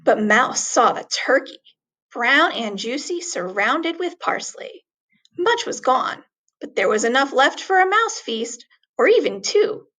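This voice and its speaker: A woman reading a children's story aloud expressively, with deliberate, dramatic emphasis on words. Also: high voice, sing-song, a bit bright.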